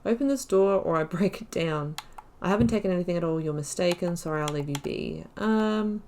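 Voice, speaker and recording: Female let's play host, pitch a bit low, informal running commentary mixed with read-aloud dialogue options. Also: a little fast, darkish tone. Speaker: gaming video host.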